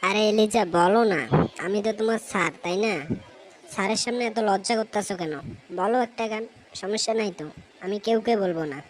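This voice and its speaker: Office boss for amateur cartoon played by a woman: Bangladeshi accent, mid pitch, unhurried and coaxing, noisy audio. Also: natural voice. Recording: hissy recording with steady ambient noise.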